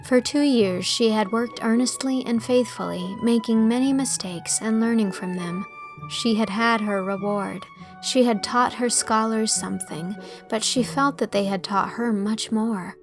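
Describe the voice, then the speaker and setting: A woman's voice: fairly high pitch, unhurried, faint music behind. A woman reading scripted storybook narration as an audiobook narrator.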